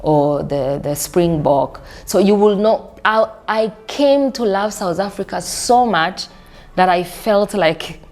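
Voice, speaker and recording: A woman's voice: medium pitch, animated, takes it slowly, darkish tone. A woman, telling her life story as an interview guest, often restarting and repeating words. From Burundi. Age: 30s. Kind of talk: casual conversational interview.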